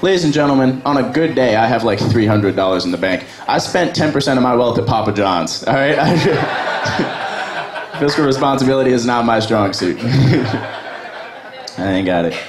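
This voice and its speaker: Self-mocking young comic in echoey bar. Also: male voice, medium pitch, expressive, moderate pace, crowd noise. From Virginia, USA. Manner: conversational, self-deprecating, crude. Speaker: stand-up comic. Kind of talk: open mic stand-up set. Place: small bar open mic stage. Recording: echoey bar with background noise.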